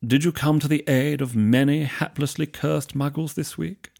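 A man's voice: on the high side, steady tone, moderate pace, a little mellow, pitched above the performer's own voice. A man voicing a languid, sly young wizard who drawls his words. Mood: drawling. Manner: drawling, smooth and teasing.

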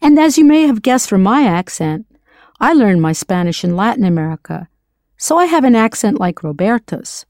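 A woman whose first language is English, narrating an audio language lesson in a clear, encouraging way, slowing down to model pronunciation. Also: mid-range voice, very animated, a little mellow, dry recording, clean studio sound. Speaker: language teacher.

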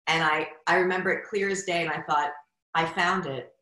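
A woman's voice: fairly low pitch, fairly even intonation, brisk, pushed and bright. A middle-aged woman hosting an interview podcast, effusive and full of praise, with restarts and laughs. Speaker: podcast host. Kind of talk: casual interview chat. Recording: echoey room.

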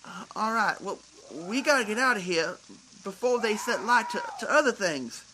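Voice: mid-range voice, lively intonation, normal speed, lightly acted. A performer playing a hesitant, folksy male zombie survivor in an amateur audio drama, stammering and drawling, with faint noise behind. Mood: nervous.

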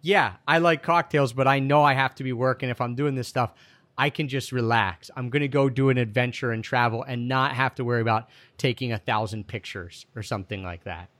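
A man's voice: mid-range voice, a little fast, fairly bright tone, dry recording. Chatty man hosting an interview, dry sound. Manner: chatty, loose, restarts his questions. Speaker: interview host. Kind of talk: casual interview questions.

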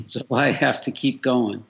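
A man's voice: medium pitch, steady tone, takes it slowly, creaky, lo-fi sound. A man from the Boston area, a guest on a talk show, speaking in a wry, joking way that turns earnest when he talks about his purpose. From metropolitan Boston. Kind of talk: casual interview talk.

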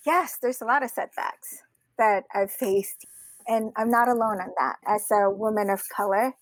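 A woman's voice: mid-range voice, moderate pace, dry recording. A woman from the Philippines speaking as an interview guest, sharing personal stories in a conversational way. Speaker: interview guest, women's community founder. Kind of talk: personal storytelling interview answers.

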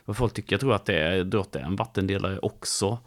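Male podcast host, low-ish pitch, relaxed and chatty. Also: fast. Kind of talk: casual chat and interview.

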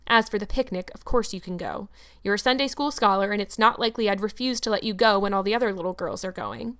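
A woman's voice: medium pitch, fast, a bit bright, clear, resonant tone, lightly acted. A stern, brusque older spinster, as a woman reading the book puts her on. She scolds in a clipped, no-nonsense way. It is a storybook reading. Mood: scolding.

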